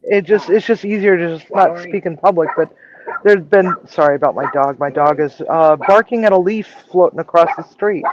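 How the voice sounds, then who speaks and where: A woman with a low voice, chatting frankly and casually as a regular on a livestream. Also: moderate pace, lo-fi sound, background noise. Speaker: chef and regular co-host.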